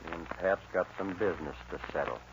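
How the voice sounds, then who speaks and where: An actor playing a cool, threatening young outlaw with a Western drawl, terse and deliberate, in 1940s radio style. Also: male voice, low voice. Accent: American Western drawl. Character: cool, menacing young male outlaw gunfighter. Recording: muffled, noisy old radio recording.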